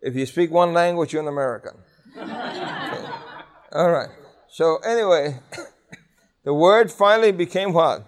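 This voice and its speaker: New man teaching a Bible class; slightly high, emphatic, quizzes the class. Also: a little slow, pauses often. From New York City. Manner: conversational, asks the class questions, emphatic repetition.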